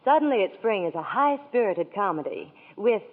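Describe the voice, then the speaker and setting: A woman's voice: medium pitch, very animated, normal speed. A woman playing a chatty Hollywood reporter in a 1940s radio broadcast, on an echoey lo-fi recording. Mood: gushing. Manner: gushing, chatty. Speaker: Hollywood reporter in intermission segment. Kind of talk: scripted 1940s radio promo.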